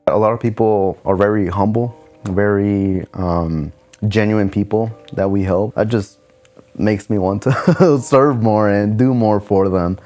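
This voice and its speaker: A man, vice president of a nonprofit, speaking in a relaxed, casual way with many fillers. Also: fairly low pitch, measured pace, soft background music. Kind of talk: documentary interview.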